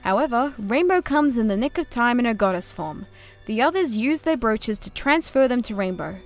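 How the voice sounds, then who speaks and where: A woman's voice: fairly high pitch, big pitch swings, moderate pace. Woman narrating a story recap over music. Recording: music under the voice.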